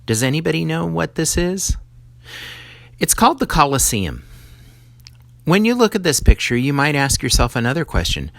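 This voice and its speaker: A man's voice: on the high side, sing-song, normal speed, gravelly, pauses often, fairly bright tone, no echo. Man reading a lesson aloud, dry close sound. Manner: explanatory, poses questions to the listener. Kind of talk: scripted educational read-aloud addressed to children.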